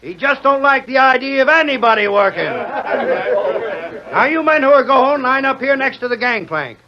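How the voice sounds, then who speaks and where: A folksy frontier railroad boss in an old radio western. The voice is very high, hearty and animated, over a crowd on a muffled broadcast. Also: male voice, normal speed. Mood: hearty, brisk. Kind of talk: 1940s radio western acting.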